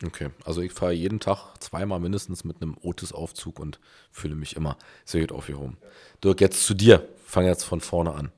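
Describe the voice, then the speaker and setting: Berliner host, man, lower pitch, steers the chat with easy questions. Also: steady tone, a little fast, darkish tone. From East Berlin, Germany. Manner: conversational, leading questions. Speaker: podcast host. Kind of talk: interview podcast.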